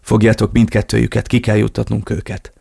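A man voicing a calm male agent who takes charge with brisk orders. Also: fairly low pitch, creaky, slightly rough, no room sound, studio quality, heavily acted. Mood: commanding.

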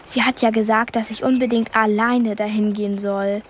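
A woman's voice: a little high, flat delivery, moderate pace, a little hiss or hum, close to an everyday voice. An actress playing an earnest, curious twelve-year-old girl in a radio play, a little hesitant in manner. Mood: pensive.

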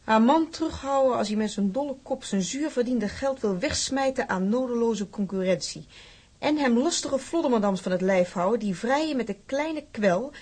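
Blunt, husky seaman's wife. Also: fairly high pitch, animated, normal speed. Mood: defiant. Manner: blunt, sharp-tongued. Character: blunt, feisty Dutch seaman's working-class housewife. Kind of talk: radio drama acting.

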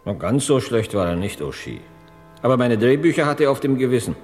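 An actor playing a vain, aggrieved screenwriter in an old-style crime radio play. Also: male voice, mid-range voice, big pitch swings, brisk, darkish tone, natural voice. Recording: long reverberant hall, music behind.

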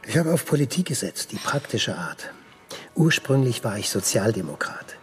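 An actor playing a hard-bitten German printer and former prisoner in a radio crime drama, laconic and dryly ironic. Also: male voice, mid-range voice, very animated, moderate pace, breathy edge. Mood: wry, laconic.

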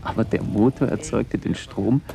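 Brooding writer, radio play monologue, fry in the voice, halting and wry, noisy ambient recording. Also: male voice, lowish voice. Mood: wry, musing. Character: brooding wandering writer, a man, wry and melancholy. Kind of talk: first-person literary radio play monologue.

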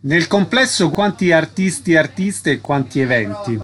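A man's voice: fairly high pitch, animated, normal speed, a bit bright. A man hosting a radio programme, asking questions and presenting items. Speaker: radio host. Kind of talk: radio interview and agenda presenting.

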